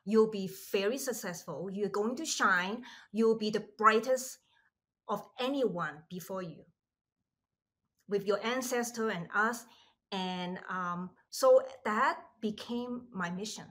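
A middle-aged woman from Hong Kong, a podcast guest telling her story in an earnest, reflective way with animated asides. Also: mid-range voice, takes it slowly. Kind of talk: conversational storytelling.

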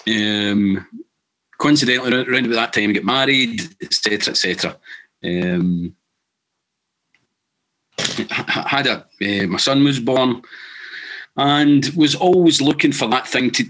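A middle-aged man with a Scottish accent, telling his story as a guest in an earnest, halting way with many restarts. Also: mid-range voice, fairly bright tone, low-quality recording. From Scotland. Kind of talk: casual storytelling.

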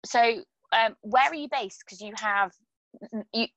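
A woman from England hosting a casual interview, friendly and teasing, quick to agree with her guest. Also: a little high, fairly bright tone, no room sound, low-quality recording. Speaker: podcast host.

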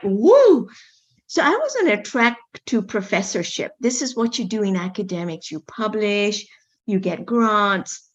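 A middle-aged woman from Kenya, a medical doctor telling her story in a casual interview, animated, often repeating words with playful asides. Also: mid-range voice, dull audio.

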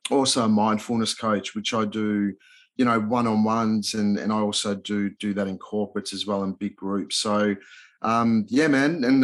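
A man in his thirties, born in Hungary and raised in Australia, with an Australian accent, talking as an interview guest in a laid-back, slangy way full of fillers and restarts. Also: fairly low pitch. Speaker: guest, yoga and mindfulness coach. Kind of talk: casual podcast interview.

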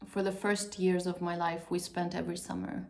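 A woman from Portugal speaks as a podcast guest in a flat, even tone with a gravelly fry. She talks reflectively and hesitantly, with many ums and restarts as she looks for words. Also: on the low side, fairly quick, a little hiss or hum. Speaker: guest, filmmaker. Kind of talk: casual interview chat.

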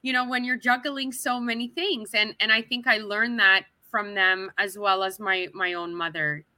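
Bright, upbeat woman guest. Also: medium pitch, fairly even intonation, moderate pace, dry recording, studio quality. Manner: chatty and upbeat, with frequent restarts and laughs. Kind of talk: casual interview chat.

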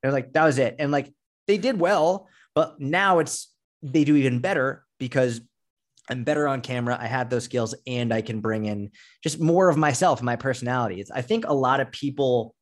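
A man in his thirties talks in a relaxed podcast conversation. His medium-pitched voice lays out points emphatically, like a coach explaining his trade, with casual swearing mixed in. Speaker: fitness coach and content creator, interview guest.

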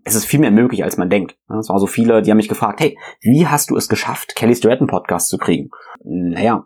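A man's voice: lowish voice, a little mellow. A young man hosting his own podcast, talking earnestly and reflectively about his path, with frequent fillers and repeated words. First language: German. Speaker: podcast host. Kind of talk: reflective solo podcast monologue.

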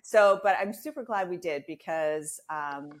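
A woman's voice: fairly low pitch, unhurried, a little hiss or hum. A woman hosting a podcast, chatting casually as she interviews a guest.

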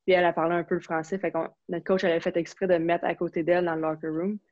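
A young woman from Quebec with a Quebec French accent, chatting casually as an interview guest, relaxed and full of fillers and restarts. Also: on the low side, rapid-fire, dry recording. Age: young adult. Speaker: interview guest, university hockey player. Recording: slightly dull, lo-fi sound.

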